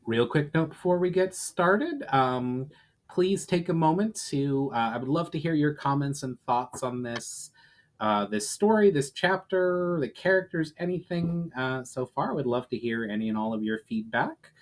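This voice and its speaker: A man's voice: on the high side, expressive, moderate pace. A man who hosts a story session as an author, presenting and reading his own fantasy writing aloud. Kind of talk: informal introduction, then dramatic story narration.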